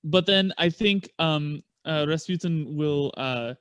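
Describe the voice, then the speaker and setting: A man's voice: a little high, slow, a bit bright, smooth, dry recording, clean studio sound. A young man playing a tabletop game, casual, swearing and laughing often. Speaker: player.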